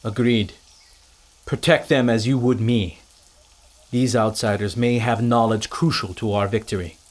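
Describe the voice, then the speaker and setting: An actor playing a dignified island king who speaks in measured, formal sentences. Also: on the low side, steady tone. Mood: grave. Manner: measured, formal and stately speech. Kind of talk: audio drama acting.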